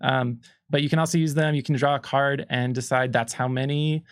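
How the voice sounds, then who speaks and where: A man's voice: medium pitch, steady tone, fairly quick, smooth, no room sound, studio quality. A man co-hosting a livestream, explaining a game in casual, unscripted chat and thinking aloud as he goes.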